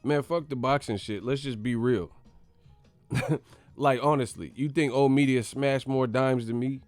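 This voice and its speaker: A man's voice: mid-range voice, fairly even intonation, unhurried, darkish tone, no echo, faint music behind. A man hosting a boxing channel who rants in a slangy, profane way, laughing and mocking as he talks. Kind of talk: profane comedic vlog rant.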